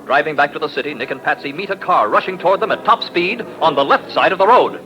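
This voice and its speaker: An American man announces in vintage radio style. His high voice is bright and forceful, delivering scripted copy with punchy salesmanship. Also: expressive, fast, faint music behind, poor audio. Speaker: announcer and narrator. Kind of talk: 1940s radio announcing, scripted ad read and narration.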